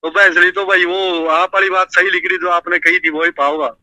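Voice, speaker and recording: ऊँची, बहुत तीखी चमकदार आवाज़ वाला आदमी, फ़ोन पर बात करता, ज़ोर से हामी भरता. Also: male voice, high voice, little pitch movement, brisk, pushed and bright, low-quality recording. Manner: agreeable, emphatic assent. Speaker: caller, fellow local reporter. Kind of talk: phone conversation.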